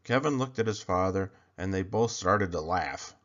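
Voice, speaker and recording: Animated man reading a children's book. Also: low voice, normal speed, lo-fi sound. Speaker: read-aloud storyteller. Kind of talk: expressive children's picture book narration.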